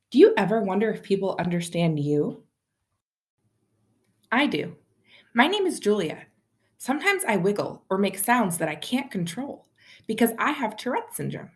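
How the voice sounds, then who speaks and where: Female storyteller for children's picture book read-aloud, animated and expressive, mid-range pitch. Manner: animated, addresses child listeners with questions.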